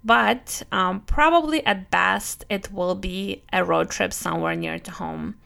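Creaky-voiced woman with a Russian-Brooklyn accent. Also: medium pitch, big pitch swings, measured pace, fairly bright tone. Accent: Russian with some Brooklyn. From Europe, moved to the US in youth. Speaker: podcast host, family travel planner. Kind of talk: scripted solo podcast monologue, conversational.

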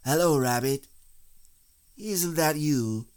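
A man voicing a cheerful, simple-minded storybook bear who speaks in a slow, emphatic singsong and likes to hum and call out proudly. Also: fairly high pitch, darkish tone, pitched above the performer's own voice. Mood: puzzled, insistent. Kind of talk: children's storybook character voice.

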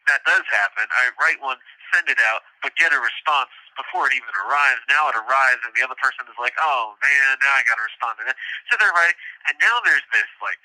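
A man speaking candidly and reflectively over a tinny phone line, halting and repeating phrases as he goes. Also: mid-range voice, bright, forceful tone. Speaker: prison inmate interviewee. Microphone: telephone.

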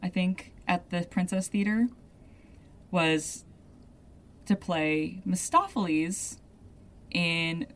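A young adult woman with a deep voice, hosting a podcast and telling a story in a loose, chatty way full of "like" asides. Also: slow pace, long pauses. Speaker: podcast host. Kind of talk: casual storytelling chat.

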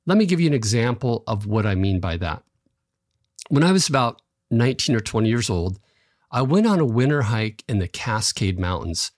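A man with a slightly raspy voice from allergies, hosting a devotional podcast and telling a personal story in a calm, earnest way with light chuckles. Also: medium pitch, dry recording, studio quality. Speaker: podcast host.

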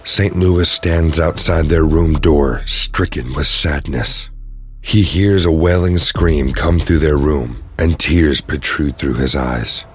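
A man's voice: a bass voice, slow, slightly rough. A man giving scripted dramatic narration for an audio drama.

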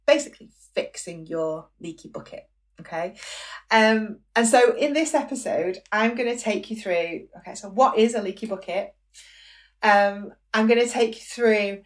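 A woman with a croaky voice hosting her own business podcast, chatty and encouraging, often checking in with "okay?". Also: mid-range voice, distant mic. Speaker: podcast host, business coach. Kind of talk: solo podcast monologue.

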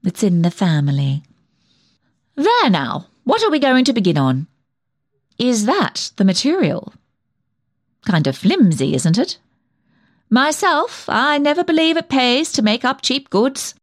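An actress playing a snobbish, chatty village dressmaker and gossip in a comic monologue, fussy and full of insinuation. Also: female voice, fairly high pitch, sing-song, a little slow, long pauses, no room sound, clean studio sound. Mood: fussy, gossipy, then snide, insinuating. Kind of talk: comic dramatic monologue reading.

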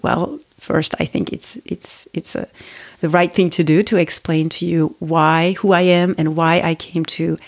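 A woman's voice: fairly low pitch, darkish tone. A woman in her forties from Turkey, hosting a podcast and telling her own story in a reflective, conversational way with restarts and fillers. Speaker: podcast host. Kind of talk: personal storytelling monologue.

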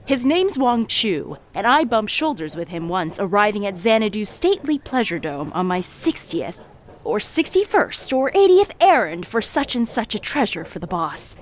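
An actress playing a worldly, teasing treasure merchant who narrates an audio drama, sly, confiding and theatrical. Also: female voice, mid-range voice, very animated, moderate pace, lightly acted.

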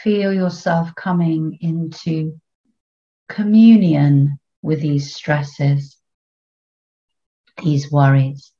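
A woman leading a guided meditation in a soothing, unhurried way, leaving long pauses between short phrases. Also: low-pitched, steady tone, dark tone, highs cut off.

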